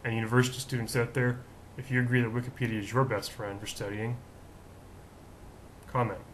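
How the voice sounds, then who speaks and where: A man with a deep voice hosting his own daily vlog, rambling in a chatty, self-mocking way with lots of fillers. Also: flat delivery, fairly quick, a little hiss or hum. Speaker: vlog host. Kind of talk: casual rambling vlog monologue.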